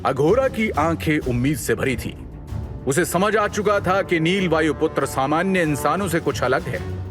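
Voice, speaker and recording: A man narrating a scripted fantasy audio drama in an expressive, suspenseful storytelling manner. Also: on the high side, unhurried, over music.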